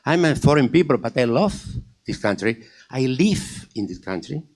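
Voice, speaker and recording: A man's voice: medium pitch, sing-song, moderate pace, darkish tone. A man from Argentina with an Argentine Spanish accent, giving a conference panel talk, emphatic and answering his own rhetorical questions. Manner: repeats phrases for emphasis. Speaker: panel speaker at a wine industry forum.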